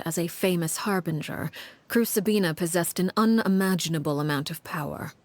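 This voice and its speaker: A woman voicing a cold, commanding middle-aged leader who tells a grim story in a measured, solemn way, often dropping to a hushed, breathy tone, in a studio game recording. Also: lowish voice. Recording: game audio with faint music behind.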